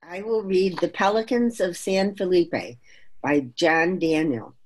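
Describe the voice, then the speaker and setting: A woman reading a poem aloud in a measured recital style at a group reading. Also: on the low side, darkish tone. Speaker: poetry reader at a group reading.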